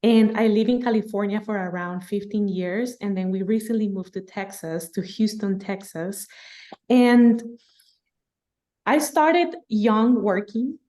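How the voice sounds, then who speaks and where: A woman's voice: medium pitch, measured pace. 30s woman from guatemala, telling her story on a podcast, laughs a lot. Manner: lively, laughing, animated storytelling. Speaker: podcast guest. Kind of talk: casual storytelling interview.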